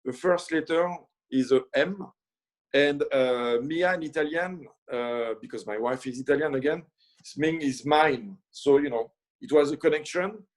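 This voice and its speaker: French-accented man, halting chef. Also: fairly high pitch, poor audio. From France. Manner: halting, many fillers and restarts. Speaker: chef and guest. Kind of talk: casual interview storytelling.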